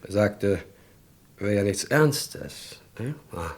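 An actor playing a hesitant yet gossipy witness in a radio crime drama, halting as he searches for words. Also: lowish voice, expressive, exaggerated voice. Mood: confiding.

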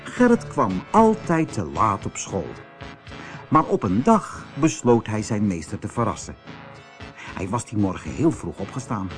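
A man narrating a children's audiobook in a theatrical, expressive storytelling manner. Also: on the low side, a little slow, soft background music.

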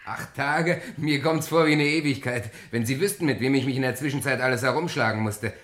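A man's voice: mid-range voice, a little fast, a bit bright, lightly acted. Actor as earnest, courteous young man. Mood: cheerful. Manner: courteous, plain-spoken. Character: polite, earnest small-town heir, modest but stubborn. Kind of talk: German radio play acting.

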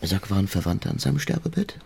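Slippery calculating husband in a radio drama, creaky voice, really up and down, music behind. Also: male voice, lowish voice, big pitch swings, fast, background noise. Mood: probing. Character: evasive, calculating womanizing husband. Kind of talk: radio play acting.

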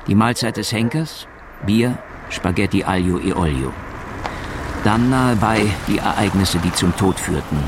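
A man's voice: fairly low pitch, unhurried, background noise. A man reading sober, factual scripted documentary narration.

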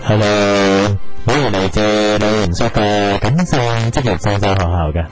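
A man plays a sensual-voiced student contestant with a low pitch. He sounds affected and earnestly suave in Hong Kong Cantonese. Music plays clearly behind this radio comedy role. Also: normal speed, close to an everyday voice. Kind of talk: comedy radio drama acting.

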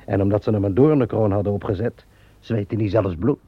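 An actor playing a blunt, dismissive pond keeper in a radio play. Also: male voice, fairly low pitch, a little fast, dry recording. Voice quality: gravelly, husky. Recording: dull lo-fi recording.

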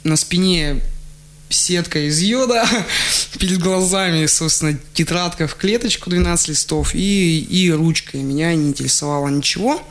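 A man, a guest on a radio show, telling childhood stories in a relaxed way, laughing often and restarting his sentences. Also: fairly high pitch, normal speed. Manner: chatty, laughs often, many fillers and restarts. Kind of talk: relaxed radio interview storytelling. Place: radio studio. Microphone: studio microphone.

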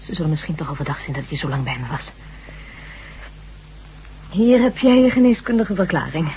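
A woman's voice: low voice, sing-song, brisk, dark tone, slight background noise. An actress playing an earnest, wistful woman survivor of an ancient people in a Dutch radio drama. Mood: concerned. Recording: dull, humming old radio recording.

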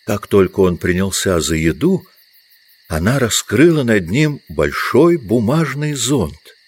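A man's voice: lowish voice, sing-song, slow, husky. A man reading scripted literary narration as the narrator of an audio drama.

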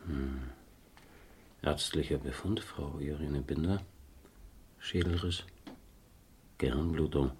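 An actor playing an authoritative male police inspector in a 1970s radio crime drama, official and measured. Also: very deep voice, a little mellow, slight background noise. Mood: grave reading.

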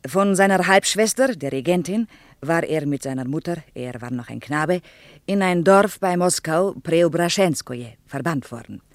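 A woman's voice: on the low side, animated, moderate pace, dry recording, studio quality, natural voice. An actress playing a Soviet woman tour guide in her thirties in a radio drama, shy but didactic, with a Russian accent and dropped articles. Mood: earnest lecturing. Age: 30s. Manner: earnest, didactic, drops articles.

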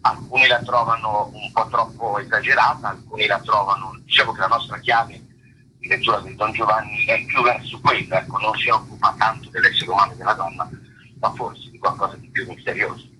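Deep, forceful man answering haltingly, noisy line. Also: fairly even intonation. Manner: halting, searching for words, rephrasing himself. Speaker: stage actor, interview guest. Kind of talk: unscripted interview answers.

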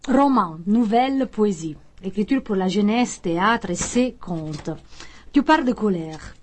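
A woman's voice: medium pitch, lively intonation, measured pace, a little hiss or hum. A woman radio interviewer whose first language is Italian asks friendly but hesitant questions.

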